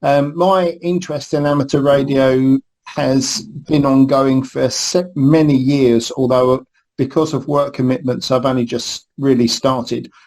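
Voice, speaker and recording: A man in his fifties, born in Scotland, introduces himself in an interview. He talks haltingly, full of ums and restarts. His voice keeps a flat, even pitch throughout. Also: poor audio. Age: 50s. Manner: hesitant, with fillers and restarts. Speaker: new team member, guest. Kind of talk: interview answers.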